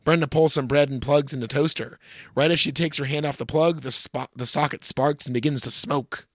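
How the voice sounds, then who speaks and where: A man's voice: a little high, fairly even intonation, fairly quick, a bit bright, no room sound, clean studio sound. A man co-hosting a comedy podcast, bantering and giving dramatic readings of a screenplay in a bossy, sarcastic, mock-earnest way. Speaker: podcast co-host.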